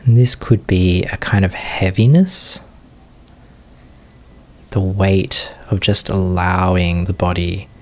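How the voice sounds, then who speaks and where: A man leading a guided meditation as a teacher, giving unscripted, gentle instruction in short phrases with long pauses. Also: low-pitched.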